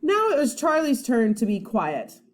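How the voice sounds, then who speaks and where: A woman teacher reading a children's story aloud expressively, pausing to put questions to young listeners. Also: on the high side, wildly swooping pitch. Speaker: first grade teacher.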